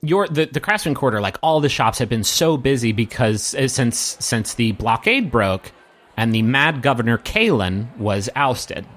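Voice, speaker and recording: A man with a medium-pitched voice acts as game master for a tabletop roleplaying game. He improvises his narration, addressing the player directly and pausing to search for the next words. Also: sing-song, fairly bright tone.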